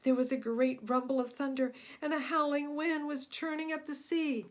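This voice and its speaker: Female storybook reader, slightly high voice, expressive narration for young listeners. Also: normal speed. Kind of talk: expressive read-aloud narration for young children.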